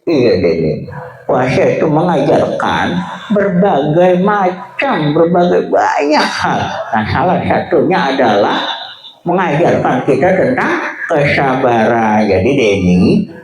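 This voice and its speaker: A man plays a kindly grandfather in a children's tale. He advises in a measured, instructive way, with lively intonation. The room is echoey. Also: a little high, crowd noise. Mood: kindly advising.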